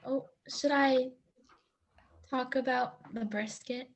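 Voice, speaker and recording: A woman's voice: sits high, fairly even intonation, slow pace, vocal fry. A woman taking part in an online workshop, speaking hesitantly with many fillers. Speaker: workshop participant.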